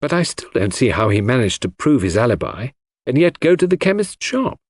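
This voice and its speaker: A puzzled English gentleman in a mystery audiobook, played by a male narrator, grumbling and impatient as he questions his friend. The voice is slightly husky and jumps about in pitch, recorded dry. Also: big pitch swings, brisk, no echo. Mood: puzzled, curious.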